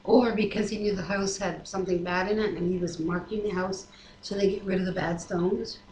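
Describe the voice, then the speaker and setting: A woman reads scripture aloud at an unpolished, everyday level, sometimes correcting herself or commenting. Her voice sits at a middling pitch. The webcam sound is echoey, with faint noise behind it. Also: steady tone, fairly quick, a little mellow. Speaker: Bible reader and commenter. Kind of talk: reading scripture aloud with casual asides. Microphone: webcam microphone. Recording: echoey room, noisy webcam audio.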